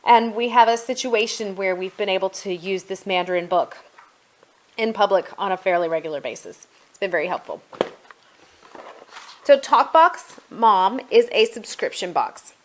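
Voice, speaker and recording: A woman's voice: medium pitch, animated, normal speed. Chatty woman reviewing a product. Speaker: homeschooling mother reviewing a product. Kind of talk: casual product review chat.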